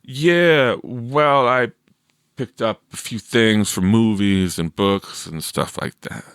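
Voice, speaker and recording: A man voicing a nervous seventh-grade boy in a story, halting and mumbling as he tries to bluff. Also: lowish voice, fairly bright tone, smooth, dry recording, clean studio sound. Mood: sheepish. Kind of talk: audiobook character voice.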